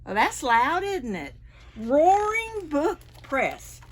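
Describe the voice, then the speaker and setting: A woman reading picture books aloud to preschoolers, with an animated manner and playful questions to the children. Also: sits high, slow pace. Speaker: librarian storyteller for preschoolers. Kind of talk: expressive picture-book read-aloud.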